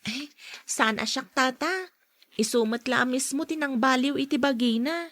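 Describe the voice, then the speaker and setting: A woman's voice: high-pitched, moderate pace, a bit bright, no room sound. A woman acting a polite, modest young working daughter in a radio drama, with an Ilocano accent. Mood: modest, shy.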